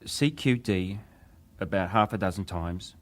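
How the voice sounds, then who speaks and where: An actor playing a young British wireless operator giving testimony in a formal, deferential, precise way, as in a dramatized hearing. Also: male voice, deep voice, measured pace. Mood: precise, factual.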